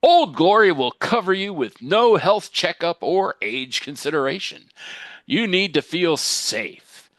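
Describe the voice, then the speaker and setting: Mock-commercial spokesman, older male played by a man: ominous, deliberate, earnest, very varied intonation, dry sound. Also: sing-song, fairly bright tone, no echo, full character voice. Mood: grave, ominous. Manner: grave, deliberate sales pitch with dramatic emphasis. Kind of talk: mock television commercial read.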